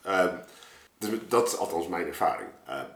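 A man's voice: low voice, animated, moderate pace. A man from Suriname talking casually on a podcast as a guest, rambling and thinking aloud as he explains his ideas. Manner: rambling, thinking aloud, frequent 'zeg maar' asides. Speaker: podcast guest, artist and storyteller. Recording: roomy, echoing room sound.

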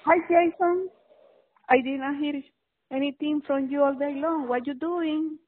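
A woman's voice: high-pitched, fairly even intonation, measured pace. A woman leaving an affectionate, pleading personal voicemail over the telephone. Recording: narrow, tinny telephone line.

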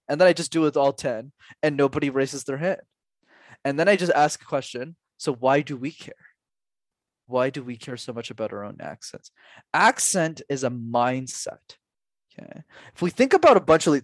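A man's voice: fairly high pitch, no room sound. Earnest young man coaching, dry close sound. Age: 20s. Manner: earnest, emphatic, talks with rhetorical questions and repeated "like". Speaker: public speaking coach, podcast guest. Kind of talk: interview answers, motivational.

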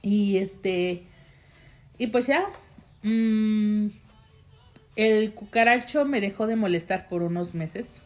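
Slow, chatty Mexican woman telling a story. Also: medium pitch, faint music behind. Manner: chatty, rambling, heavy use of fillers, addresses viewers directly. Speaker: vlogger telling a personal story. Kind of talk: casual storytime monologue.

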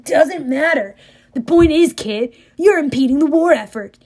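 A woman voicing a swaggering, menacing male war god in an audiobook, speaking loud and sneering. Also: high-pitched, animated, a little slow, voice pushed up. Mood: cocky.

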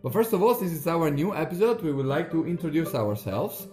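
A man's voice: a little high, sing-song, fairly quick, a little mellow, soft background music. A young Italian man co-hosting a podcast, chatting casually with a friendly, hesitant manner and many fillers. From northeast Italy. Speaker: podcast co-host. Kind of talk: casual chat. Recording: roomy echo.

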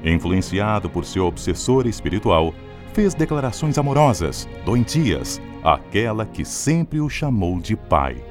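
A Brazilian man with a lowish voice announces a radio drama formally and dramatically. His pitch moves in wide, animated sweeps. Prominent music plays under the voice.

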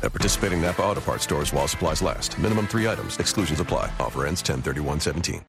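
A man's voice: sits low, fast. A man reading a scripted radio commercial as an announcer. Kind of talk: scripted radio advertisement read. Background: music.

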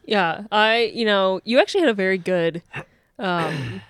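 A woman's voice: mid-range voice, normal speed, no echo, studio quality. A woman co-hosting a podcast in casual chat, chatty, rambling and playful. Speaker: podcast co-host.

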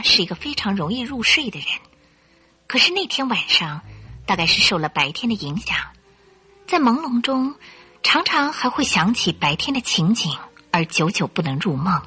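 A female narrator tells a radio drama story with suspense. Her voice is bright and medium-pitched, with animated, expressive intonation and faint music behind it. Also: slightly rough.

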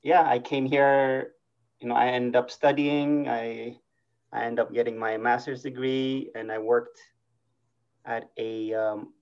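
A man from Eritrea, a guest on a podcast, speaking in a relaxed, thoughtful way and drawing out his words. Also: medium pitch. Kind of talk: casual interview. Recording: dull lo-fi audio.

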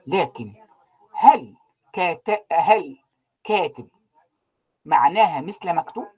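A man giving an online university lecture, trailing off so students fill in the words, with frequent drawn-out fillers. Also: a little high, expressive, darkish tone, breathy edge. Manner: keeps quizzing students with unfinished sentences, many drawn-out fillers.

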